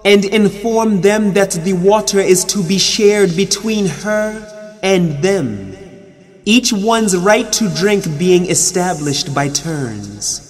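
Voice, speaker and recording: Man, solemn scripture reader, slow deliberate phrasing, formal, long reverberant hall sound. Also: high-pitched, lively intonation. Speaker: reader of Quran translation.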